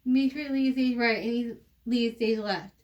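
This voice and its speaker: A woman from the United States reading her play and stage directions informally, in a halting, self-correcting way. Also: fairly high pitch, steady tone, distant mic, dull audio. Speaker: writer and reader of own play.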